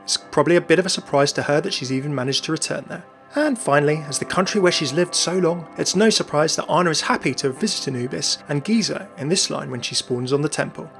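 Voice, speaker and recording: A man's voice: fairly high pitch, fast talker, creaky, fairly bright tone. A man hosting and narrating a scripted game lore commentary. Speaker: video host and narrator. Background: music.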